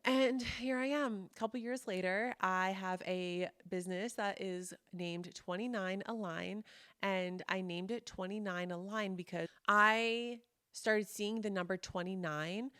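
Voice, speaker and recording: A woman in her thirties telling personal stories in a chatty, casual monologue, full of fillers and animated quoting of others. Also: medium pitch, unhurried, clear, resonant tone, dry recording, clean studio sound. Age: 30s. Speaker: podcast host and coach.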